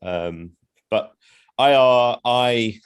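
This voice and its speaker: A man's voice: mid-range voice, very slow, smooth. A man giving hesitant, apologetic closing remarks on a video call, full of fillers and small laughs. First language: not Indonesian. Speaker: co-founder presenting certificates. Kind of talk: informal webinar remarks over video call.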